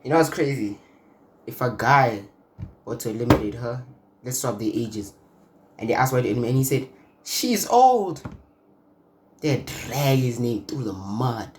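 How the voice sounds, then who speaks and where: A man's voice: medium pitch, lively intonation, normal speed, long pauses, very noisy. A man hosting a casual reaction video, talking in a slangy, laid-back way and often checking in with his audience. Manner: slangy, laid-back, frequent "you feel me".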